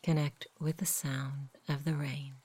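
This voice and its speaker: A woman guiding a sleep meditation in a soft, whispery, husky voice, gentle and unhurried. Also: sits low, flat delivery. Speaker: meditation guide. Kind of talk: guided sleep meditation, slow soothing narration.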